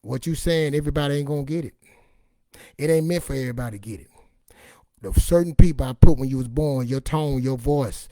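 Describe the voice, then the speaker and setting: Raspy man, mellow and streetwise. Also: mid-range voice, a little fast, no echo, clean studio sound. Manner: streetwise, emphatic, repetitive, acts out dialogue. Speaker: online motivational and faith speaker. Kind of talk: impassioned personal testimony, informal monologue.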